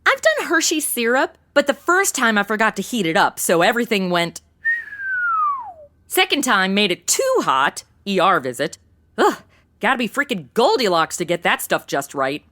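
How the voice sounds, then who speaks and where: Woman playing a bright-voiced, brash, snarky sidekick. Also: mid-range voice, big pitch swings, moderate pace. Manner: sarcastic, chatty. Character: brash, snarky, irreverent modern young woman. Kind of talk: comedic audio drama acting.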